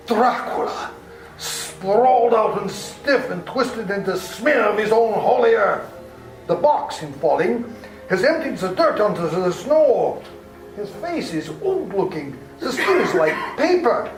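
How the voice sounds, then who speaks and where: A man's voice: high-pitched, very animated, takes it slowly, heavily acted. A man playing a commanding vampire hunter in an old-time radio drama, speaking in a dramatic, emphatic way. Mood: urgent command. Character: urgent, commanding male vampire hunter narrating the chase. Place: live performance in a public library. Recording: roomy live stage, music behind.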